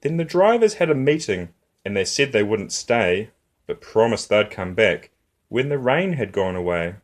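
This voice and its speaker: Guy doing storytime for little kids, kinda low voice, really swoopy expressive rhythmic reading. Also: moderate pace. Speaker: storyteller and host of a children's story channel. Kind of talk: children's picture book read-aloud.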